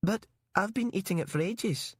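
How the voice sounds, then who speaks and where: Audiobook thriller: male reader as weary captive woman, lifted pitch, haunted, plain-spoken. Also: moderate pace, breathy edge, no room sound, clean studio sound. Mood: dismayed. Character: weary captive woman, calm, haunted, talks to dead husband.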